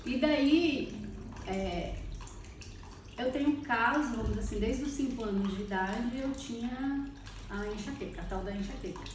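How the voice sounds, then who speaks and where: A Brazilian woman with a slightly high voice talks reflectively, as a guest in a relaxed interview, feeling for her words. She sounds distant in a very echoey space, with clear watery noise behind her. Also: normal speed. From Brazil, born in Salvador, lived in Teresina. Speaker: podcast guest, architect. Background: water fountain trickling.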